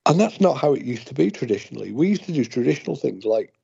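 British male co-host, husky and mellow, wry casual chat over slightly dull audio. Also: medium pitch, very animated, quick pace. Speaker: podcast co-host.

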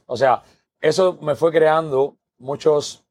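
A man's voice: a little high, slow, darkish tone. A man from Cuba with a Cuban accent, a podcast guest telling his life story earnestly, often repeating words as he builds a point. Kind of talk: podcast interview, personal story.

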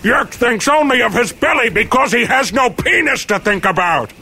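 Yeti minion: pitched way up, dim-witted, hungry, crude, exclaiming, fantasy audiobook creature. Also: male voice, animated, moderate pace, pushed and bright, husky, exaggerated voice. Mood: crude taunting. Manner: exclaiming, squabbling.

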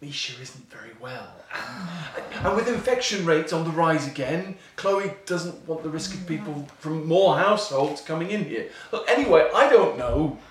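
A man's voice: on the high side, lively intonation, slight background noise, close to an everyday voice. An actor playing an apologetic, anxious married man, speaking hesitantly in a naturalistic audio drama. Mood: defensive. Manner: hesitant, halting. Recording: echoey room, distant from mic.

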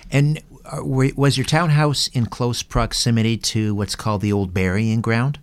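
A man's voice: mid-range voice, steady tone, normal speed, smooth. A man hosting an interview, putting questions and prompts to his guests. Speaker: interview host.

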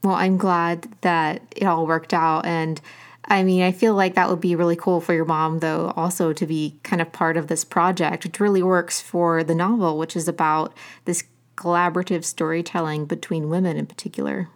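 A woman with a fairly low voice who is hosting an interview with an author. She chats warmly and eagerly, heaping praise and easing into her questions. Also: level tone, normal speed. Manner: chatty, enthusiastic, complimentary.

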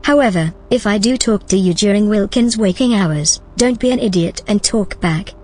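A woman playing a shrewd, self-assured artificial intelligence in a sci-fi audio drama, with a husky voice and a cool, dry, matter-of-fact delivery. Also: mid-range voice, normal speed, a little mellow, lightly acted. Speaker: supporting actor in an audio drama.

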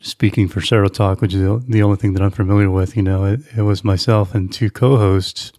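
A man's voice: fairly low pitch, fairly even intonation, fairly quick, dark tone, no room sound, clean studio sound. A man hosting a podcast, asking questions in a loose, conversational way. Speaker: podcast host. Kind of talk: casual podcast interview.

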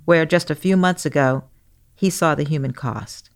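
A woman hosting a news podcast, narrating in a measured, explanatory way. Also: low-pitched. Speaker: guest podcast host.

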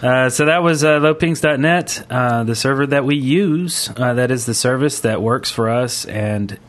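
A man's voice: medium pitch, normal speed. A man hosting a gaming podcast with casual, off-the-cuff chat. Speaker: podcast host.

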